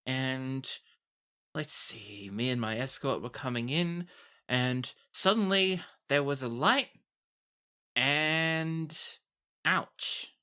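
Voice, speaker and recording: Game master as chatty, animated halfling woman alchemist. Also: medium pitch, slow pace, clear, resonant tone. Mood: chatty, grumbling. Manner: chatty, exclaiming, folksy. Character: halfling woman alchemist, blunt, chatty, kindly, freshly resurrected.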